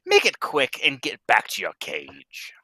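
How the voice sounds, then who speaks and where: A man's voice: medium pitch, wildly swooping pitch, measured pace, pushed and bright, husky, no echo, exaggerated voice. A man reading the part of a stern, pompous bank president in a live radio-play reading, lecturing emphatically. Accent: American. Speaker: live script reader.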